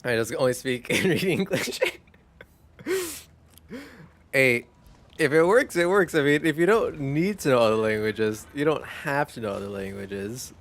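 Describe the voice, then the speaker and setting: A man whose first language is Spanish, chatting casually as a streamer while gaming, in a rambling, relaxed way. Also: mid-range voice, measured pace. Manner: rambling, relaxed, laughs easily.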